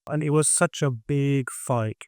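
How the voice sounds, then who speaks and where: Male, mid-pitched and clear, emphatic conversational expert, dry close sound. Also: a little slow, darkish tone, dry recording. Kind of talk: animated industry discussion.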